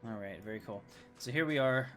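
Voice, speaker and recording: Chatty male game streamer, mid-pitched voice. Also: brisk, fairly bright tone, faint music behind. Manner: chatty, rambling. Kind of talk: casual live gameplay commentary.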